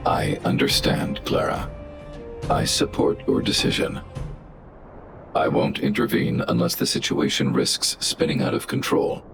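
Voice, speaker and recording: Game role: huge stoic robot guardian, very deep, heavily creaking voice, measured and formal, music behind. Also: male voice, heavily acted. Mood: calm, solemn. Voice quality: deep gravelly. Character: towering calm male guardian robot, protective and measured. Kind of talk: video game voice acting.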